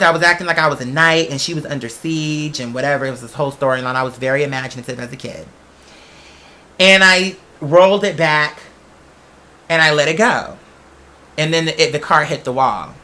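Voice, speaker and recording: A man's voice: on the high side, pushed and bright. A man hosting a casual talk show, telling personal stories in a chatty, emphatic way with self-questioning asides. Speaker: podcast host. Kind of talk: casual personal storytelling monologue.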